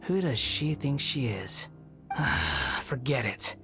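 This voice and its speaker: A man's voice: mid-range voice, big pitch swings, slow. A man playing an arrogant, sarcastic young villain in a video game, with mock-polite theatrical delivery. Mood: irritated. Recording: music behind the voice.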